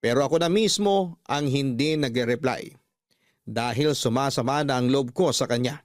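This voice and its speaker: A man's voice: medium pitch, normal speed, no echo, studio quality. A man reading a scripted first-person story as a radio storyteller.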